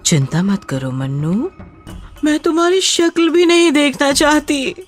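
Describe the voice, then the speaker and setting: A woman voicing a vengeful, mocking female ghost in a horror story, with eerie drawn-out calls and cackles. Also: high voice, expressive, soft background music, pitched above the performer's own voice. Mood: cold, bitter. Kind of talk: horror audio story acting.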